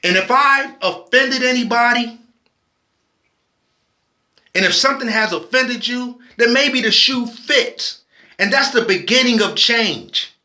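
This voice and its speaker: High-voiced impassioned man, slow and bright. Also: reverberant. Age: 40s. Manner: impassioned, preachy, with frequent restarts. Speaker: vlogger. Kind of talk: personal video commentary.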